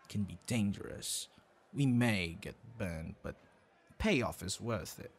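A man's voice: fairly low pitch, lively intonation, slightly rough, full character voice. A man acting a tender, secretive young man on a date in an ASMR boyfriend roleplay, easily flustered. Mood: tender, poetic. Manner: slow, intimate, with halting stammers when flustered.

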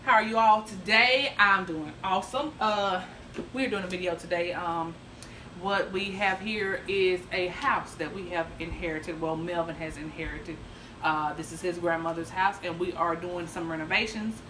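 A woman's voice: lowish voice, fairly even intonation, normal speed, background noise. Chatty woman vlogging in an echoey kitchen. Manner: chatty, thinking aloud with many ums. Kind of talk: casual home renovation vlog. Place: large kitchen of an old house. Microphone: phone at arm's length, later propped far off. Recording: echoey room.

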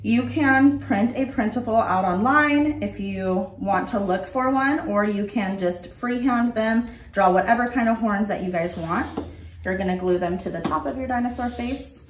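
A young woman giving clear, step-by-step craft instructions for children, standing at a table in an indoor room. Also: mid-range voice, moderate pace, a little hiss or hum. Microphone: camera at a distance. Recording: roomy, from a distance.

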